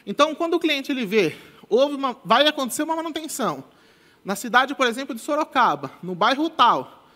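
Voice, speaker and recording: High-voiced man speaking on a conference stage. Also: very animated, a little fast, sharp tone. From Brazil. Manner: casual, repeats "tá?" as a tag, many restarts. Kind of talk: technical conference talk. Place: large conference stage with audience. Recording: long hall reverb.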